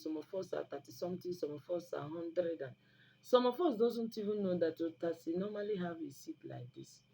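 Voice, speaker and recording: A woman's voice: fairly low pitch, dark tone, husky, low-quality recording. A woman with a Nigerian Igbo accent, a native Igbo speaker, talking informally and instructively to viewers in an emphatic, preachy way, repeating phrases for stress. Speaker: online video host giving herbal remedy advice. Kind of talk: informal instructive talk to camera. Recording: noticeable background noise.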